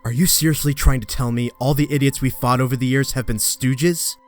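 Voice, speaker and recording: A man's voice: mid-range voice, steady tone, a little fast, close to an everyday voice. A man playing an earnest, rattled young superhero in a comic book dub, disbelieving and confrontational. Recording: dry close recording, faint music behind.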